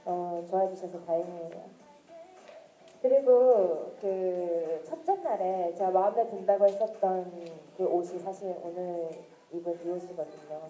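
A woman's voice: lowish voice, a little slow, mellow. A young woman in her twenties, a singer chatting and telling stories to fans at a signing event, giggling often. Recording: echoey event hall.

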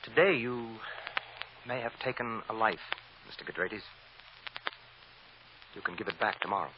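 An actor playing a stern, righteous defense lawyer, deliberate and insistent, in an old-time radio drama. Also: male voice, fairly low pitch, lightly acted. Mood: stern, accusing. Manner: deliberate, insistent, repeats phrases for emphasis. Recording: dull, noisy old radio recording.

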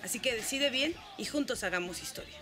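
A woman's voice: a little high, lively intonation, brisk. A young woman running for mayor, speaking earnestly and proudly to camera outdoors in a scripted campaign message. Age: young adult. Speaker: mayoral candidate. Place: outdoors among green plants. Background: campaign music.

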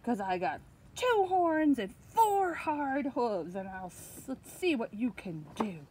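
A woman's voice: a little high, very animated, slow pace, a little mellow, slight background noise, voice pushed up, poor audio. A woman voicing the biggest billy goat, a bold male with a big, defiant way of talking.

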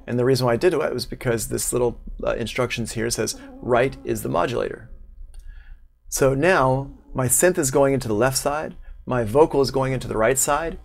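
A man in his thirties giving a casual, unscripted software tutorial as an instructor, thinking aloud as he goes. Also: mid-range voice, normal speed, creaky. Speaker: online music production instructor. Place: small room at home, indoors, livestream.

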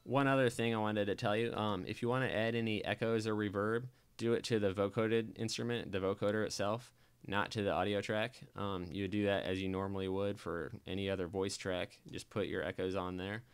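A man narrating a software tutorial in a casual, informal, step-by-step instructional way. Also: on the low side, fairly even intonation, a little fast, clear, resonant tone. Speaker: tutorial presenter.

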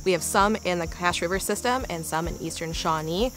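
A woman's voice: lowish voice, fairly quick, a bit bright. A young woman working as a field researcher, explaining procedures in a documentary interview.

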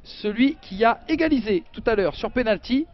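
A man doing play-by-play football commentary, chatty and jokey, bursting with excitement on big chances. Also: very high-pitched, normal speed, lo-fi sound.

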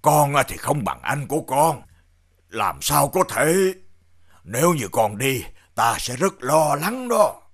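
A man voicing a stern older king and father in an animated fairy tale, husky and commanding. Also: mid-range voice, slow pace, heavily acted. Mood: doubting, worried.

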